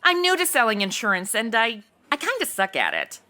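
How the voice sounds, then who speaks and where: A woman's voice: fairly high pitch, wildly swooping pitch, fairly quick, sharp tone, soft background music, full character voice. A woman playing a bubbly, ditzy young insurance seller in a comedy audio drama, with flippant, slangy Valley-girl chatter. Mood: rueful, exasperated.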